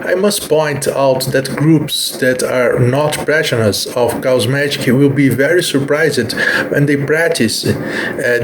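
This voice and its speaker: A man from Brazil with a Brazilian accent, a native Portuguese speaker, addressing podcast listeners in somewhat stiff English phrasing. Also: mid-range voice. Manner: stiff, slightly rigid phrasing. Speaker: podcast creator. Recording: clear background noise and ambience.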